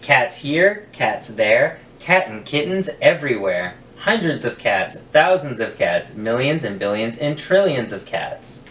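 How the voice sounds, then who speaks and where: A man's voice: mid-range voice, very animated, a little slow, few pauses, a bit bright, roomy sound, recorded from a distance, a little hiss or hum. A man voicing a practical, scolding very old woman from a storybook.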